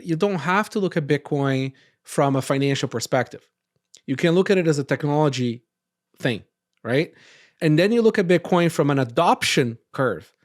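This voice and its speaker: A man from Brazil hosting a casual roundtable podcast, rambling and often tagging his points with quick questions. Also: fairly high pitch, a little mellow. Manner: rambling, frequent tag questions. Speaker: podcast host.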